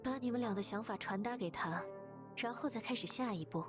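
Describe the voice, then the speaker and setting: An actress plays a decisive young woman from a visual novel game. Her voice is fairly high and composed, with clear game music behind it. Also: brisk, natural voice. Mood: composed, assured.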